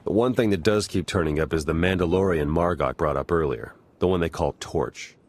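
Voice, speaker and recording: A wry spy ally from a science-fiction game. His voice sits very low, and he talks casually and dryly, like an easygoing tech expert on a mission. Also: a little fast. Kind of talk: video game voice acting.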